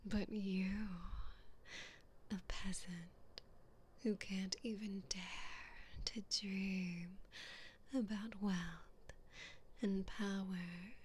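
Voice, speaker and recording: A woman voicing a haughty, teasing evil queen in a breathy, condescending whisper for an ASMR roleplay. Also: medium pitch, steady tone, slight background noise. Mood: teasing, seductive. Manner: slow, drawn-out, condescending, seductive.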